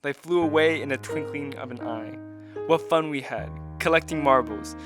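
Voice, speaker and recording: A man's voice: fairly high pitch, normal speed, natural voice. Tender husband over guitar music, acted by a man. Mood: tender nostalgia. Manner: tender, poetic reminiscing. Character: tender, nostalgic husband addressing his beloved wife. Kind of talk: audio drama monologue. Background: plucked guitar music.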